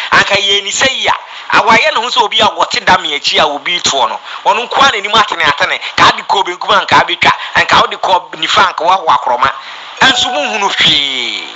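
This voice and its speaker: A man's voice: high voice, poor audio. A Ghanaian man giving an impassioned, scolding political rant, emphatic and repeating his words.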